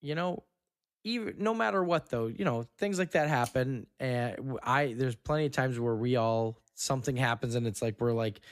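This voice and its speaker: A man's voice: mid-range voice, normal speed, no echo, clean studio sound. A man co-hosting a casual podcast, chatting in an informal, encouraging and joking way. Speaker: podcast co-host.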